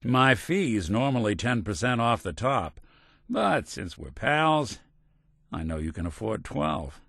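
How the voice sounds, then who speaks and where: An old synthetic male detective from a noir-flavored video game, American and world-weary. The voice sits on the low side but moves with lively, expressive swings. He wisecracks in a hard-boiled, sarcastic drawl, recorded very dry with no room around it. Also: slow, gravelly, dry recording, full character voice. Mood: dry, wisecracking.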